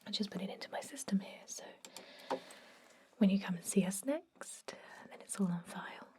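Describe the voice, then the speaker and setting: A woman playing a chatty but gently stern vampire dentist in a whispered ASMR roleplay, rambling in a confiding way with a breathy voice. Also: medium pitch, moderate pace, close to an everyday voice. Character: chatty long-lived female vampire dentist, garlic-obsessed, gently stern. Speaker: ASMR performer.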